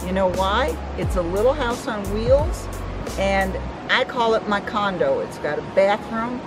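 A woman's voice: medium pitch, animated, unhurried, over music. An older woman outdoors at a campground, upbeat as she rattles off a list. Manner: upbeat, listing features. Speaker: campground guest. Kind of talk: casual testimonial.

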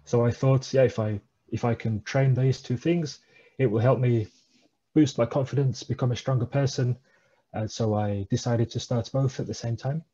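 A man's voice: mid-range voice, normal speed, dark tone, breathy edge, dull audio. A man, a guest talking hesitantly over a headset on a video call from a small room. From England. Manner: hesitant, many uh fillers, thoughtful. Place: small room at home, indoors.

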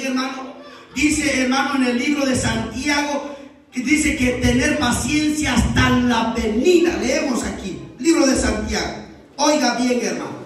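A man preaching a sermon to his church, drawing out phrases in a sing-song way and calling out to the congregation for responses. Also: extremely high pitch. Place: church hall. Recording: echoey church hall, distant mic.

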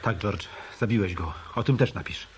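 An actor playing a sardonic, blunt hotel detective in a radio play. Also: male voice, fairly low pitch, moderate pace, slight background noise, close to an everyday voice. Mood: firm, subdued. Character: weary, scornful male hotel detective.

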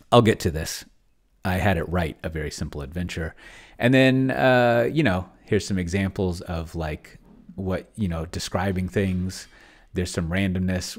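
A middle-aged man with a very low-pitched voice and a lot of creak in it. He talks off the cuff like a streamer at his desk, rambling, thinking out loud and letting phrases sink into a mumble. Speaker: host of a tabletop roleplaying experiment stream. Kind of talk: casual, unscripted livestream commentary.

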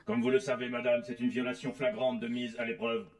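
A man voicing a stern, officious robot police constable in an animated film dub, formal and clipped. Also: mid-range voice, fairly quick, creaky.

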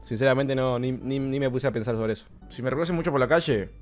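A man in his twenties with an Argentine accent, chatting casually on a livestream from a small bedroom setup with a close boom mic. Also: fairly even intonation, fast. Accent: Argentine Rioplatense Spanish. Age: 20s. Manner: chatty, jokey, reacting to his old clips. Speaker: streamer. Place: small bedroom streaming setup with blue lights. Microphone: boom mic close to his face. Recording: clean streaming mic with faint background music. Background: soft background music.

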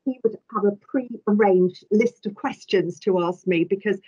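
Older woman from England, talkative guest, mid-pitched, muffled call audio. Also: a little slow, husky. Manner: talkative, warm storytelling with hesitations. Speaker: chief veterinary officer, guest. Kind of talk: conversational interview answers. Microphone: video call. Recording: slightly dull video-call audio.

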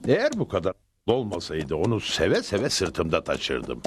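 Bir adam, çizgi film dublajında söylenip duran alaycı bir konuşan atı canlandırıyor. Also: male voice, low-pitched, huge pitch swings, unhurried, over music, exaggerated voice. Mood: sardonic. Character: sardonic, grumbling talking male cowboy's horse. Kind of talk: cartoon dub acting.

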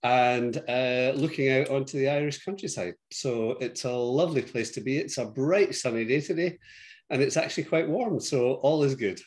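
A man's voice: mid-range voice, normal speed. An older man with a Scottish accent, a guest chatting and telling stories over a video call from his home office. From Scotland. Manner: warm storytelling, frequent yes and laughs. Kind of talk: casual interview chat.

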